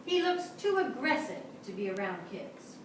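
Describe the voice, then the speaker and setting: A woman's voice: on the high side, very animated, normal speed, pitched below the performer's own voice. A woman playing a prim, anxious, disapproving suburban mother with a fussy manner in a theatrical stage reading. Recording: far-off echoey theatre with noise.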